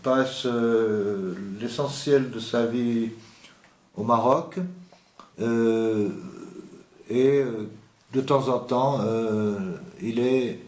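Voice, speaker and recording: Slow, halting man in an echoey room. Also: medium pitch, fairly even intonation, a little mellow. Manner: slow and halting, many hesitations and restarts. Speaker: host presenting an author. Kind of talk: unscripted literary talk.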